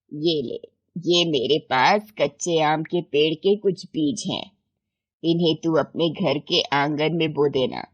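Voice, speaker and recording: Woman voicing a slow, gentle old grandmother. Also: sits low, slightly rough, muffled recording, voice pushed down, heavily acted. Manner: slow, deliberate, tender. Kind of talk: acted children's moral story.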